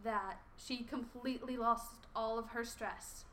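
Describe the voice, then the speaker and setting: A woman who works as a babysitter, talking loud and laughing as she shares a story with a group. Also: on the high side, steady tone, measured pace, crowd noise. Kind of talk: casual group sharing. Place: room with a group.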